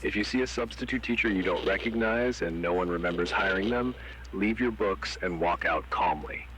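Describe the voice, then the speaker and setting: A man voicing a grim emergency broadcast announcer who gives flat, ominous, commanding warnings. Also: deep voice, normal speed, a little hiss or hum, poor audio. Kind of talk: analog horror warning broadcast.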